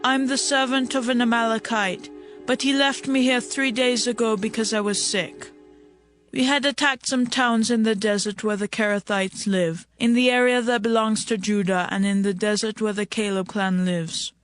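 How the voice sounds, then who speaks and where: A man's voice: high-pitched, steady tone, normal speed, fairly bright tone. A man playing a wary, pleading young male servant from Egypt in a dramatized scripture production. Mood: weary, confessing. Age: young adult. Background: music.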